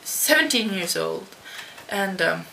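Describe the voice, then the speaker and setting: A teenage girl from the Netherlands answers tag questions at her home computer, slow and halting, with many restarts. Her voice has a gravelly, creaky edge. A faint computer-fan hum sits behind her webcam audio. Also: mid-range voice, very animated, pushed and bright, low-quality recording. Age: teens. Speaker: vlogger. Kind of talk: casual talk to camera answering a tag.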